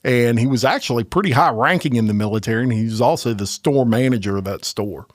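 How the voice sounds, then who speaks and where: A man's voice: medium pitch, fast talker, dry recording. Chatty podcast host man, dry sound. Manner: chatty, frequent short affirmations. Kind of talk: casual interview.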